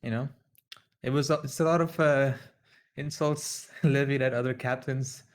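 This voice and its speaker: A man's voice: mid-range voice, steady tone, normal speed. A young man hosting an online event, chatting casually with dry, teasing remarks. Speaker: event host. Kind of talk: casual chat.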